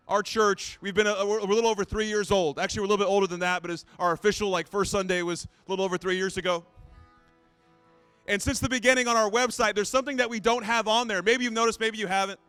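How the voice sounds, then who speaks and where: A man's voice: high voice, fairly bright tone, clean tone, dry recording. A man in his late thirties preaching in a conversational, self-deprecating way, often repeating his phrases. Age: 30s. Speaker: pastor. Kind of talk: sermon.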